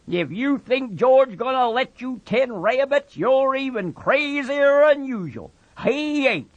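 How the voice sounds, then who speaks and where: Brief: a man playing a cruel mocking giant rabbit, high voice, drawl, dull lo-fi audio. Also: a bit bright, no room sound, exaggerated voice. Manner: scornful, taunting. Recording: dull lo-fi, dry.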